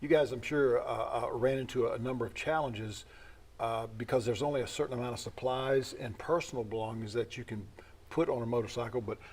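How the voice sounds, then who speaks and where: A man hosting a local television interview as the interviewer, with a husky voice. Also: medium pitch, brisk, darkish tone.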